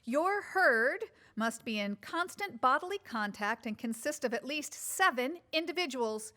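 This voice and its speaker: A woman's voice: high voice, animated, measured pace, pushed and bright, heavily acted. A woman playing a prim, self-conscious TV news anchor with a dramatic, flustered manner in a comedy sketch. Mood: newsreader formality. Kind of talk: comedy sketch acting, theatrical.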